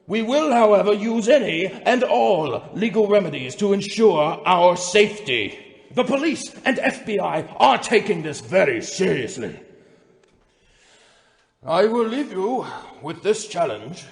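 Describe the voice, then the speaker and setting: A man's voice: high voice, big pitch swings, measured pace, vocal fry, heavily acted. A man playing an indignant, pompous corporate executive in a theatrical dramatic reading of formal emails. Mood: indignant, threatening. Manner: pompous, theatrical delivery with sharp swells and drops. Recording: long reverberant hall echo.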